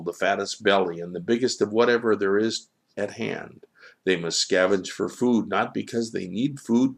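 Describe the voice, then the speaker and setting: A man voicing a wise divine child in a storybook, teaching solemnly in measured, preaching aphorisms. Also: low-pitched. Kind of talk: storybook character reading.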